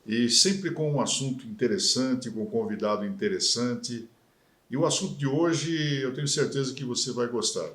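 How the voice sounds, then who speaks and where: A Brazilian man hosts a television interview in a measured, emphatic announcer's manner and pauses for effect. His voice sits at an ordinary pitch. The studio adds a noticeable echo. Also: a bit bright. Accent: Brazilian Portuguese. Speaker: TV interview host. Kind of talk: formal TV interview.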